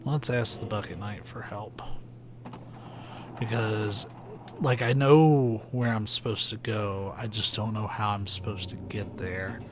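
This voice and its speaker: A young man with a soft, soothing voice, chatting casually and rambling while he plays a video game on stream. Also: lowish voice, a little slow. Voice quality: soft, soothing, sleepy-sounding voice. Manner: rambling, laid-back, many "like"s and "uh"s. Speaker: let's play streamer. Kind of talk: casual commentary while gaming. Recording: steady background noise hiss.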